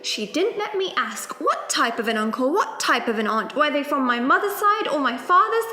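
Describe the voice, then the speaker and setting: A woman reciting performance poetry in an expressive, rhythmic delivery. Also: high-pitched, normal speed, faint music behind. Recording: echoey room.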